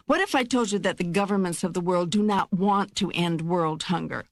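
A woman playing God as a wry, wise, reassuring spirit in an audiobook dialogue, with a measured, assured, instructive delivery. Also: lowish voice, dry recording, clean studio sound, natural voice. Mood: firm, insistent.

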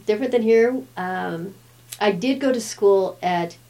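A woman's voice: medium pitch, measured pace, mellow. A woman in her fifties, a guest who grew up in Brazil with Portuguese as her first language, chatting casually and laughing often. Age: 50s. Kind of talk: casual chat.

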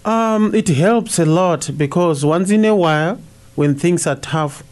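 A middle-aged priest from Zimbabwe whose first language is Shona speaks in a fairly high voice for a man. He answers in a thoughtful, halting way, full of uhs and restarts. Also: darkish tone. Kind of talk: radio interview answers.

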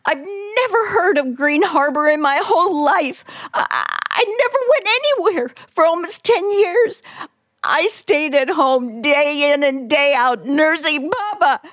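High-pitched, terrified captive woman. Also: lively intonation, fairly bright tone, no room sound. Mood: frantic pleading. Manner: breathless, stammering, pleading, halting. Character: terrified, frantic middle-aged woman held captive, writing her diary. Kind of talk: old-time radio suspense drama acting.

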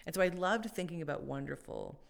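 A woman's voice: low voice, brisk, creaky, a little hiss or hum. A woman co-hosting a faith podcast, talking casually and thoughtfully, with many fillers and restarts. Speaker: podcast co-host.

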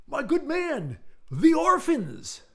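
A man plays an eccentric, paranoid gentleman from an old-fashioned storybook. He is well-meaning but frantic, exclaiming urgently in a raised, swooping pitch. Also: huge pitch swings, takes it slowly, vocal fry, full character voice. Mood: frantic pleading.

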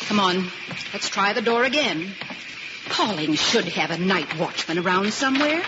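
A woman's voice: mid-range voice, animated, moderate pace, natural voice. An actress playing a plucky, motherly married woman in an old-time radio drama. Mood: determined. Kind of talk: 1950s radio drama acting. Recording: hissy, muffled old radio recording.

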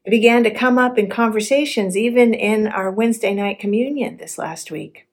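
A woman's voice: on the high side, normal speed. Female pastor preaching a reflective sermon.

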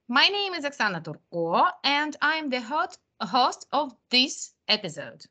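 A woman hosting a podcast, reading a prepared introduction and interview questions in a clear, measured way. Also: high-pitched, pushed and bright. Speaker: podcast host.

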